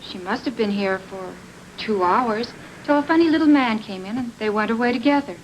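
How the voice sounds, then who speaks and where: A woman playing a breezy, quick-witted waitress in a film noir radio drama. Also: on the high side, expressive, natural voice. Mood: breezy, chatty. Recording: noisy vintage radio recording.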